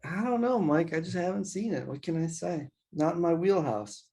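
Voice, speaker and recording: A man's voice: on the high side, moderate pace, creaky, dark tone, slightly rough. Laid-back joking podcast co-host man. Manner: laid-back, joking, stumbling over words. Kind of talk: casual podcast chat.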